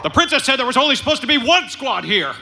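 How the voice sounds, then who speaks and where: An actor playing a cocky, irritable smuggler captain in a live audio drama, with a brash, wisecracking, sarcastic manner. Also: male voice, very high voice, sing-song, fast, vocal fry, loud music behind the voice, full character voice. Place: convention stage before a live audience. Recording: live stage sound with background noise.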